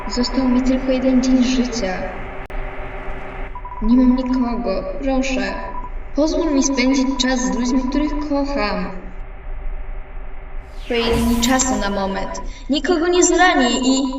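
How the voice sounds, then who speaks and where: A woman's voice: high voice, slow, pauses often, muffled recording. A woman in an amateur fan dub voicing a scheming, falsely sweet and menacing double, with taunting, dramatic delivery. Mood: pleading. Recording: very echoey, distant, music underneath.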